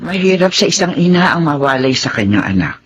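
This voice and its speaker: An actress playing a caring, reassuring older aunt in a radio drama, speaking in a motherly, soothing, expressive way. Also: low voice, fairly quick, a little hiss or hum. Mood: gentle, reassuring. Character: caring, reassuring older aunt raising her late sister's children.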